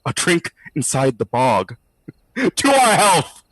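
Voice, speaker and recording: An actor playing a brash, sardonic skeptic who talks in slangy, theatrical quips in an audio drama diary. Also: male voice, on the high side, big pitch swings, takes it slowly, fairly bright tone, no room sound, exaggerated voice, rough recording. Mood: drunk, rambling. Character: brash wisecracking skeptical man, boozy ghost-study participant.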